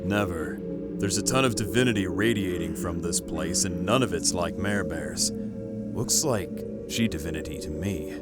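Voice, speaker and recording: Man as a laconic, low-voiced old warrior. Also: moderate pace, over loud music, close to an everyday voice. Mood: matter-of-fact. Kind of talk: audio drama acting.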